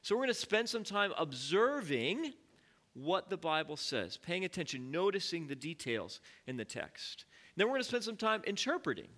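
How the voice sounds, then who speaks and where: Bright-voiced man leading a church study. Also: sits high, sing-song, normal speed. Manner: informal, many fillers, asks the congregation questions. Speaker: pastor leading a Bible study. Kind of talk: conversational church teaching. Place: church sanctuary.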